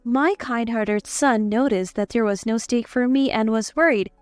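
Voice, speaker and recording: High-pitched, clear woman story narrator. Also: normal speed, no room sound. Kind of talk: scripted dramatized narration in first person. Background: background music.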